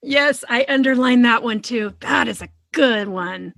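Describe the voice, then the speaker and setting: A woman podcast host talking conversationally about books in an earnest, expressive manner. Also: on the high side, a little slow, gravelly, no echo, studio quality. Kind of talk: conversational book discussion.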